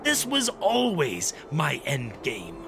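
A man playing an arrogant, gloating monster hunter villain in a radio drama, with a creaky, sneering delivery. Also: fairly high pitch, wildly swooping pitch, music in the background, full character voice. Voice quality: gravelly, creaky. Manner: slow, sneering, theatrical gloating.

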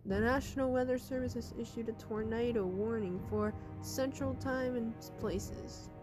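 A woman playing a grave TV emergency alert announcer, speaking in a solemn, formal, halting way. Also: on the high side, steady tone, over music, close to an everyday voice. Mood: grave, urgent.

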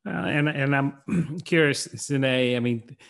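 A man's voice: mid-range voice, slow pace, vocal fry. A man with a mid-range voice hosts a podcast conversation. He asks a student about their experiences, circling through several related questions in an easygoing, curious way. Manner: gently probing, asks layered follow-up questions. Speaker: podcast interviewer and mentor.

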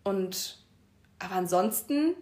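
A woman's voice: medium pitch, animated, takes it slowly, a little mellow. Late-20s woman chatting on a podcast, thoughtful, laughy, echoey room. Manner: reflective, talkative, laughs easily. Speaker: podcast co-host. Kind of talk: casual chat. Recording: roomy echo.

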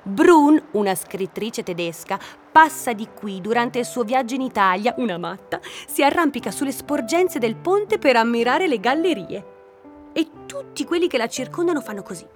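High-voiced, playful, stubborn woman guide. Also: moderate pace, soft background music. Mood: feisty. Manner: animated, theatrical, argumentative. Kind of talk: naturalistic audio drama dialogue.

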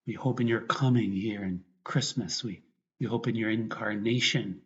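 A man, a priest, guiding a meditation and prayer in a reflective way, often pausing mid-thought. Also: fairly low pitch, fairly even intonation. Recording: dull, lo-fi recording.

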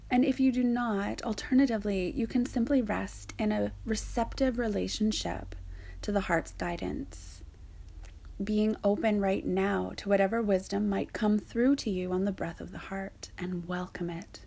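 A woman softly guiding a yoga nidra meditation in a soothing, breathy way, leaving long pauses. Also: mid-range voice, steady tone. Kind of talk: guided meditation narration.

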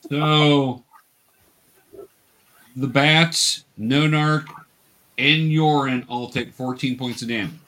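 A man's voice: mid-range voice, fairly even intonation, takes it slowly, long pauses, a bit bright, poor audio. A man acting as game master, patiently explaining rules and narrating a tabletop fantasy game.

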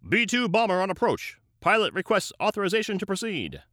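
A man playing a dutiful Air Force captain in a comedic audio drama, reporting in brisk official military style. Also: high-pitched, sing-song, a bit bright, no room sound, clean studio sound.

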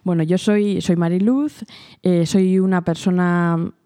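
A woman from answers interview questions. Her voice is mellow and warm. Her manner is quiet, reserved and thoughtful. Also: fairly low pitch, fairly even intonation, a little slow, clean tone. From Spain. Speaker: guest, journalist.

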